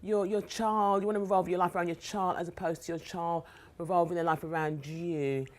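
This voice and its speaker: Lowish-voiced London woman, enthusiastic guest. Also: fairly even intonation, brisk. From South London, England. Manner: enthusiastic, repeats words for emphasis. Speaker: guest author. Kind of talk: casual interview chat.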